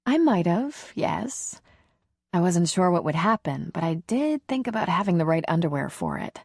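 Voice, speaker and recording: A woman voicing a nervous, smitten, soft-spoken woman with a breathy, hesitant manner, in a romance audiobook. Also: fairly low pitch, sing-song, normal speed, no echo, studio quality, full character voice. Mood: coy.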